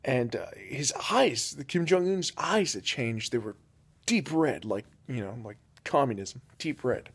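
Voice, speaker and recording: Young guy, deadpan comic storyteller, rambles with lots of fillers. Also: mid-range voice, a little slow, breathy edge. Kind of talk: improvised comedic storytelling.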